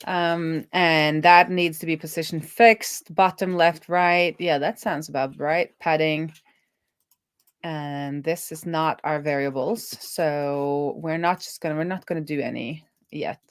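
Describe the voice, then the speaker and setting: A woman with a low-pitched voice talks through her work on a casual coding stream. She speaks informally, often to herself, and the sound is close and dry with very little room. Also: fairly even intonation, unhurried, dry recording. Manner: informal, self-talking. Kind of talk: casual live coding walkthrough, thinking aloud.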